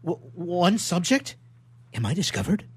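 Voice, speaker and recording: A man performs a horror-stricken young male scientist from a nineteenth-century melodrama, staged for radio. His voice is slightly high and husky. He declaims in an overwrought, halting manner, full of anguish. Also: very animated, darkish tone, full character voice. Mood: panicked.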